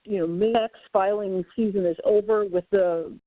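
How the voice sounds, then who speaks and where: A woman's voice: medium pitch, steady tone, a little slow, no echo. A woman calling in to a webinar to ask a question conversationally, over a tinny phone line. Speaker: audience member asking a question. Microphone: telephone call-in.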